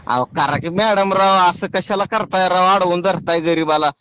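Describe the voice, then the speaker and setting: A man's voice: high-pitched, normal speed, pushed and bright, clear, resonant tone. A man with a rural Marathi accent making a prank phone call, pleading and persistent in a rustic way. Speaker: prank caller and show host. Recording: dull lo-fi phone call audio.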